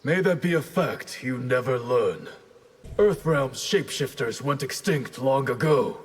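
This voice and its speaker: An actor playing a stern, honourable ninja warrior, speaking in a measured, formal way in a video game. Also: male voice, medium pitch, lively intonation. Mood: stern, solemn. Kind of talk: video game fight banter. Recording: clear background noise.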